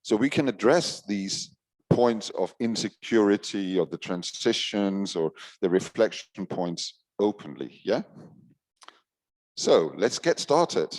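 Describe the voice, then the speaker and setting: Male moderator, lowish voice, directs the room. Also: a little mellow. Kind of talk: facilitating a roundtable.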